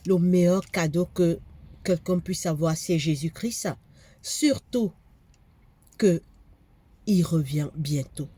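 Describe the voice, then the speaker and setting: A woman's voice: on the low side, slow pace, pauses often, dark tone, husky. Fervent woman preacher with a cold. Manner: fervent preaching, repeats phrases for emphasis. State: has a cold, congested. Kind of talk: sermon.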